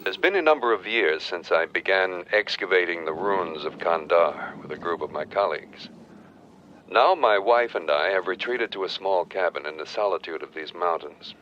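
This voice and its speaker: An actor playing a grave, scholarly archaeologist haunted by demons, speaking solemnly in a horror film's recorded journal. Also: male voice, low-pitched, animated, a bit bright, dull audio. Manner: solemn, measured, formal scholarly diction. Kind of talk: scripted horror film recorded-journal narration. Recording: noisy old reel-to-reel tape playback.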